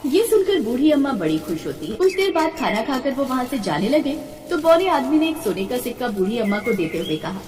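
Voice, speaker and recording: A woman's voice: high voice, sing-song, brisk, a little mellow. A woman narrating a scripted folk tale as a storyteller. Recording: echoey reverberant distant voice, faint noise.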